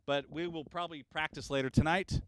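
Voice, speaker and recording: A man hosting a casual livestream from his recording studio, talking in a relaxed, rambling way. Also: fairly high pitch, fairly quick, clean tone, poor audio. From Kansas. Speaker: studio owner and livestream host.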